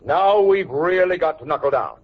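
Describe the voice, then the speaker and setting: Blustery, bossy husband, swooping intonation; classic radio comedy on a muffled old recording. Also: male voice, on the high side, expressive, normal speed. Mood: urgent, bossy. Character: pompous, bossy older neighbour husband, jovially competitive. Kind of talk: 1940s-50s radio sitcom acting.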